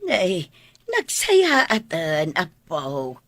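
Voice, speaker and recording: A woman playing a caring, gentle woman of a humble family in an Ilocano radio drama, husky and tender. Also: lowish voice, wildly swooping pitch, drawn-out words, dry recording, full character voice. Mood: tender, grateful.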